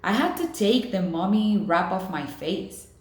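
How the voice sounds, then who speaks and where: A woman's voice: medium pitch, big pitch swings, a little slow, roomy sound. A woman in the role of a teacher, reading a children's novel aloud and talking directly to listeners. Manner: addresses listeners directly with questions. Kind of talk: storybook read-aloud with comments to students.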